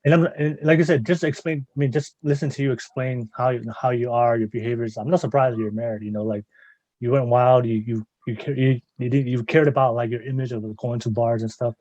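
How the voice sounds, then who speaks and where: Guy hosting a podcast, talks really fast and rambly, rewords himself a lot, dry slightly muffled audio. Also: mid-range voice, steady tone, darkish tone, dry recording. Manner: rambling, repeats and rephrases questions. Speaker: podcast host. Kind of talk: casual interview chat.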